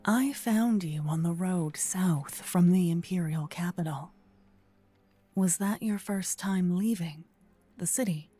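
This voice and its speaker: A soft, mellow female vampire, acted by a woman, murmurs right into the ear in breathy half-whispers. She is slow and intimate, a gentle yet possessive captor who earnestly insists she is a protector. It is close binaural ASMR roleplay over music. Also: on the low side. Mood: chiding, intense. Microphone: close binaural microphone, ear to ear.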